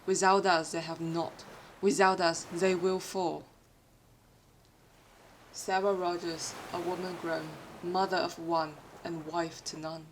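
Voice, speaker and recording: Low-voiced woman narrating, British-tinged non-native English. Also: level tone, slow, noisy background. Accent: British-influenced non-native English. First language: not English. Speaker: podfic reader. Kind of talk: dramatic scripted fiction narration.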